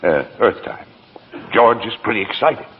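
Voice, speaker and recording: An actor playing a solemn senior angel who narrates a man's life in a radio drama, measured and authoritative. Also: lowish voice, lively intonation, slightly rough. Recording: slightly dull radio recording.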